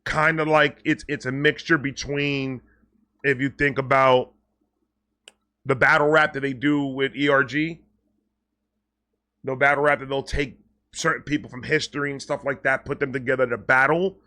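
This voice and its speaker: A man talking casually and off the cuff to his viewers, excitable and rambling, often repeating and stammering over words, with slangy, sweary phrasing. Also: medium pitch, moderate pace, long pauses. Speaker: online video creator and host. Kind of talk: casual unscripted vlog talk to viewers.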